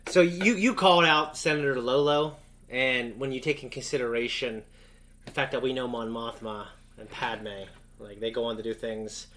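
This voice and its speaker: A man's voice: medium pitch, steady tone, moderate pace, a bit bright. A man chatting casually as co-host of a reaction show, in a jokey, sweary way. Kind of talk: casual chat.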